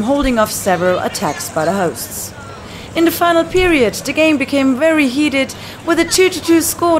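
A woman's voice: on the high side, noisy background. A woman reading a scripted sports report as a reporter narrating a game recap.

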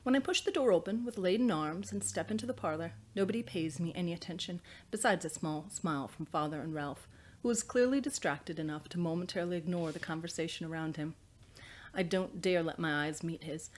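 A woman in her thirties, an author reading and presenting her novel in a small study. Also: fairly low pitch. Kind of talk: audiobook-style reading, scripted narration. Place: small indoor study with bookshelves.

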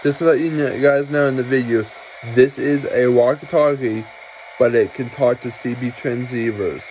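A man's voice: medium pitch, fairly even intonation, moderate pace, darkish tone, a little hiss or hum, poor audio. A man giving casual, blunt, mildly sweary vlog commentary, recorded on a handheld device in a room at home. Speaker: video creator showing a toy. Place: small cluttered room at home, indoors.

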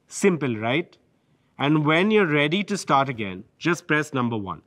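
A man's voice: on the high side, fairly bright tone, no echo. A man with an Indian English accent giving a polished, scripted product presentation from behind a lectern. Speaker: corporate presenter. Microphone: lectern microphone.

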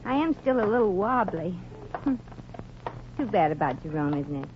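Actress as wisecracking showgirl type, lively intonation, slangy and kindhearted, vintage radio comedy, muffled sound. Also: mid-range voice, moderate pace, close to an everyday voice. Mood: concerned. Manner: slangy, sardonic. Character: wisecracking, good-hearted, brassy young working-class woman. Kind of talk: 1940s radio comedy acting. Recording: dull, noisy 1940s radio recording.

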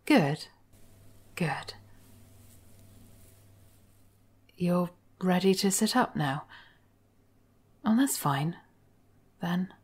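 A woman playing a manipulative, obsessive therapist in an intimate ASMR roleplay, speaking softly and coaxingly, often in a whisper. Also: on the low side, lively intonation, extremely slow, slightly rough, full character voice. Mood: assured, probing. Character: manipulative, obsessive female therapist, soothing and sly. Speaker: voice actor in audio roleplay. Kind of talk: intimate scripted ASMR roleplay, addressed to the listener.

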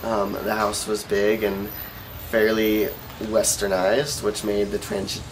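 Low-voiced young American man, noisy outdoor recording. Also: monotone, slow. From Oregon, United States. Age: young adult. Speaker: volunteer being interviewed. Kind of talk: casual interview answers. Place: outdoor rooftop terrace. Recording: clear outdoor background noise.

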